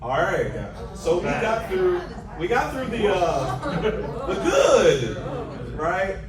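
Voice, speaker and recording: A man hosting a casual movie panel, speaking in an informal, animated way. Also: high-pitched, takes it slowly. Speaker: panel host. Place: large convention panel room. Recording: echoey hall, distant mic, crowd noise.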